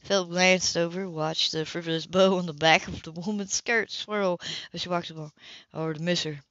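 A woman's voice: lowish voice, steady tone, moderate pace, a bit bright, no echo. A woman reading a romance novel aloud as an amateur narrator, now and then stumbling over a word. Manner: occasional stumbles over words. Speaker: amateur audiobook reader. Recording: dull, lo-fi home recording.

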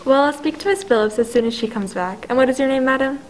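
Quick, formal switchboard woman, an acted role. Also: high voice, close to an everyday voice. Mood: formal, unmoved. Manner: clipped, polite, official. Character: brisk, formal, unmoved hospital receptionist and operator. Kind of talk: 1940s radio play acting.

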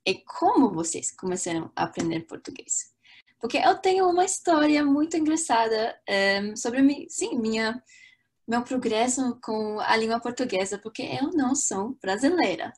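A woman's voice: fairly high pitch, moderate pace, slightly rough. Upbeat young woman teaching on a video call. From Latvia. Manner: lively, chatty, many fillers and restarts. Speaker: language teacher. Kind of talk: live online language lesson. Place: small room indoors. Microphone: webcam on a video call.

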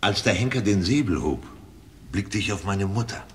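An actor playing a brave, resolute Russian courier of the Tsar in a German radio play, solemn and declamatory. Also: male voice, fairly low pitch, lively intonation, normal speed, natural voice. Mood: solemn, composed.

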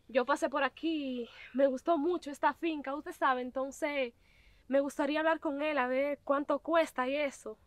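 A humble young woman politely presses her case in a high voice, as an actress plays her. The recording is close and dry, made outdoors. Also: no room sound. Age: young adult. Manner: polite, persistent. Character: humble, determined young woman seeking a farm. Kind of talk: web drama acting. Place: outdoors on a country lane by a gate.

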